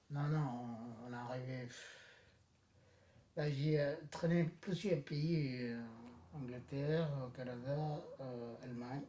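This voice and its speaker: A middle-aged man with a Sri Lankan accent, telling his life story in halting, broken French as a second language. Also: mid-range voice, steady tone, dark tone. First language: not French, learned it as an adult. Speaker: interviewee. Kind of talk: recounting life story in interview. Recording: roomy, echoing sound.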